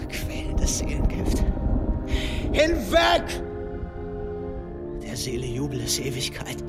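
An actor playing an impassioned tragic idealist in a radio drama, declaiming exalted verse with fervent stage delivery over music. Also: male voice, mid-range voice, wildly swooping pitch, takes it slowly. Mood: exalted defiance. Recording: loud music under the voice.

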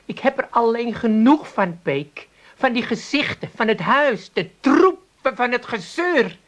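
An actress playing a middle-aged, fed-up Amsterdam housewife in a comic radio play, dry and sarcastic. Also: female voice, a little high, expressive, measured pace.

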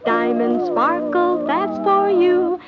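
A woman's voice: extremely high pitch, expressive, slow pace, loud music behind the voice. A woman singing a 1950s radio advertising jingle. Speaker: jingle singer. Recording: muffled vintage radio recording.

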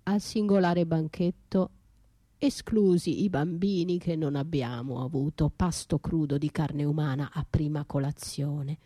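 An actress playing an anguished, bitterly lyrical battered wife left for dead, in an intimate, halting radio theatre monologue that drifts into whispers. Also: female voice, fairly low pitch, mellow, studio quality. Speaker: stage and radio actress performing her own monologue. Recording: roomy echo, faint music behind.

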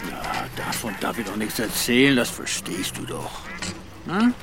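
An actor playing an aging Northern Irish ex-soldier, wry, nostalgic and evasive, in a German radio crime drama. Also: male voice, mid-range voice, wildly swooping pitch, a little slow, background noise. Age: older.

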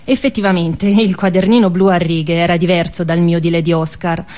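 A woman's voice: on the low side, steady tone, a little fast, a little mellow. A woman with a Tuscan accent tells a first-person story as an actress in a stage monologue.

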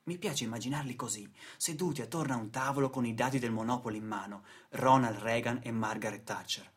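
A man's voice: medium pitch, a little fast, slightly rough. A man narrating a radio theatre monologue in a rhetorical, emphatic way. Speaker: actor-narrator.

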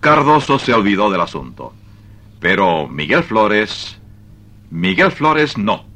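A male narrator tells a scripted story for radio theatre. His voice is low with a bright, forceful edge. The delivery is animated, moving widely in pitch. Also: takes it slowly.

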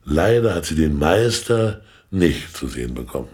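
Shrewd know-it-all informant, very low bass voice, sardonic, pedantic, radio drama. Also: male voice, very animated, normal speed, a little mellow. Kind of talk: German radio play acting.

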